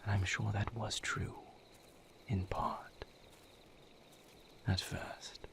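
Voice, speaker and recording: A low, gravelly vampire lover, acted by a man speaks in an intimate roleplay monologue. He is brooding and somber, confiding a grim past slowly and haltingly in a breathy murmur. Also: level tone, fairly bright tone, heavily acted. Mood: weary, regretful. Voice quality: breathy, gravelly near-whisper. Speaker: audio roleplay performer.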